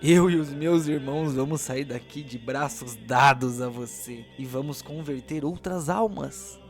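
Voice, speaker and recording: A man's voice: medium pitch, measured pace, soft background music, natural voice. A man playing a zealous, mocking cult priest who serves angels, preaching with condescension in an audio drama. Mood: solemn, preachy. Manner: condescending, preachy, pleading then menacing.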